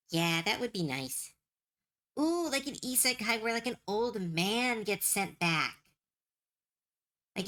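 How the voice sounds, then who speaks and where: A young adult woman streaming as she draws. She rambles and giggles as she thinks out loud, and her voice is going hoarse and tired. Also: fairly low pitch. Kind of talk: casual chat while drawing.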